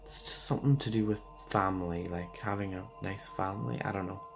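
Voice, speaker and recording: A man giving a casual tarot card reading, hoarse and losing his voice, rambling loosely with lots of fillers. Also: sits low, steady tone, a little fast, over music.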